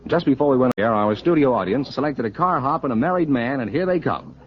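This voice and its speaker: A man's voice: mid-range voice, lively intonation, quick pace. An American man announcing on a 1940s radio quiz show in a formal presenting style, on a dull, hissy old recording.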